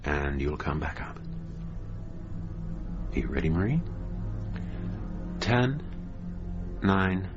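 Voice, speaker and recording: A man's voice: extremely low pitch, big pitch swings, takes it slowly, long pauses, exaggerated voice. An actor in a radio drama playing an earnest, touchy male hypnotherapist with an intent, insistent manner. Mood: soothing, hypnotic. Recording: dull lo-fi radio recording with music.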